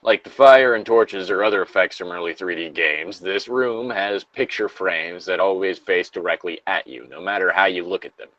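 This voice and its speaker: A man's voice: low voice, moderate pace, hardly any pauses, fairly bright tone, dry recording, dull audio. A man reading a horror story aloud as co-host, casually, with joking asides and the odd stumble. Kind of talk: casual co-reading of creepypasta with banter.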